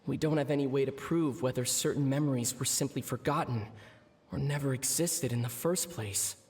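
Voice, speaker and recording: An actor playing a serious, reserved, knowledgeable wanderer in a video game, explaining things in a measured, deliberate manner. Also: male voice, mid-range voice, darkish tone, a little hiss or hum, natural voice. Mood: measured, sceptical. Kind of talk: video game character acting.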